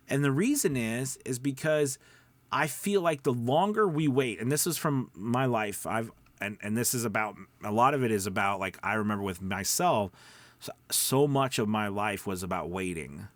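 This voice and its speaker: A man's voice: medium pitch, dry recording. A man giving casual, rambling coaching advice to viewers, emphatic and self-deprecating. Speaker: voice-over coach and video host.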